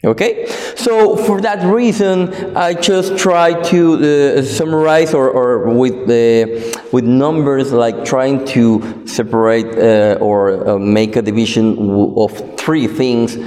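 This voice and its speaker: A man from Guatemala whose first language is Spanish, speaking with a Guatemalan Spanish accent as he delivers a sermon, pausing often with fillers and restarts. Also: mid-range voice, steady tone, dark tone. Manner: halting, many fillers and restarts. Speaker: preacher. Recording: echoey hall with long reverb.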